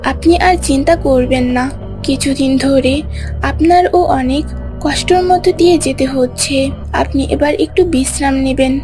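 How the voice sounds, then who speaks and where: A soothing, dutiful lady of a fairytale palace, acted by a woman, with a very high voice. She reassures gently in cartoon style, with music playing clearly behind her. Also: close to an everyday voice.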